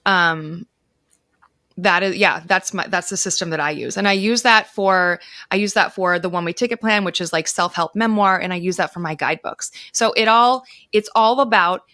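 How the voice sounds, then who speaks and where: A woman's voice: lowish voice, normal speed, pushed and bright, no room sound. Woman giving casual expert advice, dry close sound. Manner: explanatory, informal. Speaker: interview guest, author and teacher. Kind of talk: conversational advice. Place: indoor room, on a video call. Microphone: close microphone.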